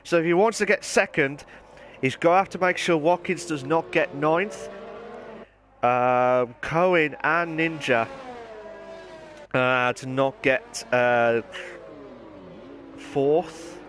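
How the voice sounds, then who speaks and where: A man hosting and commentating an online racing league, his voice hoarse and nearly gone, talking in an excitable, chatty way. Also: a little high, slow, pauses often, soft background music. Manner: excitable, shouting during qualifying, then calm chatty standings rundown. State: hoarse, lost voice. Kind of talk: live esports race commentary.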